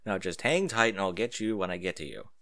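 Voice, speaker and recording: A man's voice: low-pitched, animated, a little fast, fairly bright tone, no echo, clean studio sound. A man voicing a stern, scruffy older barber in a story, curt and matter-of-fact.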